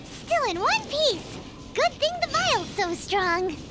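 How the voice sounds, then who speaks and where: An excitable little fairy girl for a video game. Her squeaky, childlike voice is extremely high and swoops around in a perky, exclamatory way, with prominent music playing behind it. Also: takes it slowly, exaggerated voice. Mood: excited. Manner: perky, exclamatory, refers to self by name. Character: small excitable childlike female fairy companion.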